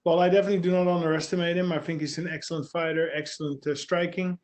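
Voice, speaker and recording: Dutch fighter, slightly high voice. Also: male voice, flat delivery, very fast, darkish tone. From Netherlands. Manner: short plain answers with many fillers. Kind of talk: press interview answers.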